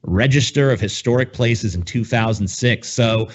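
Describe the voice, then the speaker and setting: A man's voice: mid-range voice, moderate pace, husky, lo-fi sound. A man co-hosting a podcast in casual, profane banter with a sarcastic, mock-grandiose manner. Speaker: podcast co-host.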